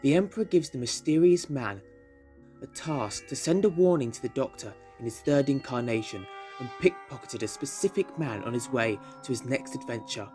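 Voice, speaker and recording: A man's voice: medium pitch, lively intonation, moderate pace, a little mellow. Male narrator over background music. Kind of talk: scripted audio drama narration. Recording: music under the voice.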